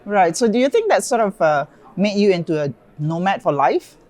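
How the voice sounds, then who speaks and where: A woman's voice: medium pitch, sing-song, normal speed, a little mellow. A woman hosting an interview in a conference studio, asking questions in an effusive, eager, chatty way. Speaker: interviewer and event founder.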